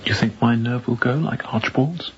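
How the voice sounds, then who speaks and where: An actor playing a young, newly appointed sea captain in a radio drama, clipped and guarded, with an uneasy edge. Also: male voice, medium pitch, normal speed, natural voice. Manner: clipped, quiet-spoken, guarded. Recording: muffled sound, faint background noise.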